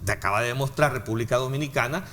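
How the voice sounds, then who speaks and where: A man's voice: mid-range voice, racing, a bit bright, slight background noise. A man from El Salvador with a Salvadoran Spanish accent, arguing a legal point in a political discussion and walking through examples. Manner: argumentative, gives worked examples.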